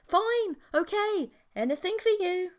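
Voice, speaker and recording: A woman's voice: very high voice, animated, a little slow, voice pushed up. A woman playing an awkward, easily flustered geeky young man in an audiobook, hesitant and submissive to his girlfriend. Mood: giving in. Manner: hesitant, stammering.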